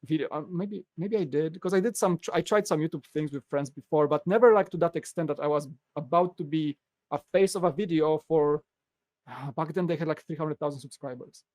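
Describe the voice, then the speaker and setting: A man from Poland with a Polish accent, a guest in a casual podcast interview, telling stories in a lively way. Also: fairly high pitch, brisk, no room sound. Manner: animated storytelling, acts out quotes.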